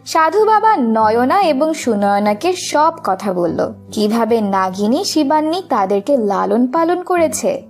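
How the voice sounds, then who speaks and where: A woman's voice: on the high side, very animated, unhurried, darkish tone, faint music behind. A woman narrating a scripted fairy tale for children.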